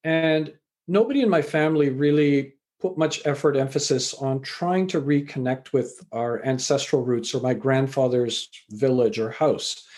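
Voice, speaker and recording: Man, middle-aged, from Canada, higher-pitched voice, reflective, storytelling, fillers. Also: a little mellow. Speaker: author and university lecturer. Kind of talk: conversational interview answers.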